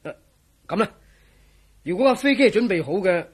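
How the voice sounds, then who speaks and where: A high-pitched, animated scoffing friend. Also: male voice, breathy edge, exaggerated voice. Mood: reluctant, joking. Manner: teasing, scoffing, drawn-out exclamations. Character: scoffing, jovial man, mocking a believer in legends. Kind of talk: Cantonese radio drama acting.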